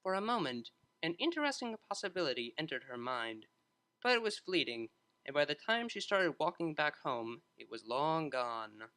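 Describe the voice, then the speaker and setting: Bright lively narrator reading a story, lots of up and down in the voice. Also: mid-range voice, moderate pace.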